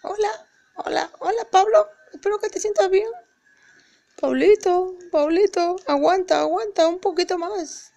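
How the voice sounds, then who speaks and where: A woman voicing a mischievous male koala plush toy, putting on a Spanish-from-Spain accent, squeaky and playful. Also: measured pace, vocal fry, dark tone, full character voice. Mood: cheering on. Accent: put-on Spain Spanish. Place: hotel room. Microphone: phone camera at arm's length.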